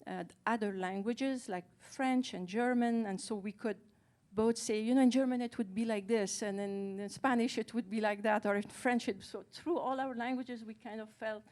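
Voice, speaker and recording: A woman co-translator who is a native Farsi speaker, talking on a panel, hesitant and pausing often to search for words. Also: fairly high pitch, fairly even intonation, darkish tone. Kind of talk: casual panel talk.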